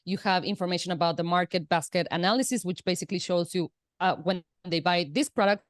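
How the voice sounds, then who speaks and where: A woman whose first language is Spanish speaks English in a low voice with a chatty, explaining manner, full of fillers and restarts. She talks over a video call, and the sound is dry and close. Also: fast, no room sound. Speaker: guest, Amazon seller. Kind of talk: casual interview chat.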